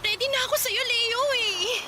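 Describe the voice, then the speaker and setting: A woman's voice: extremely high pitch, moderate pace, very noisy. A woman acting a lovesick, jealous young woman in a Filipino radio drama, with a Filipino accent and an emotional, insistent delivery. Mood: hurt, pleading. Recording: music bed behind dialogue.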